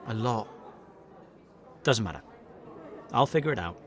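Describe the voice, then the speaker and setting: A man's voice: on the low side, lively intonation, measured pace, fairly bright tone. A man playing a young, struggling British jobbing actor, self-deprecating, insecure and hesitant, in a naturalistic audio drama. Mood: downcast.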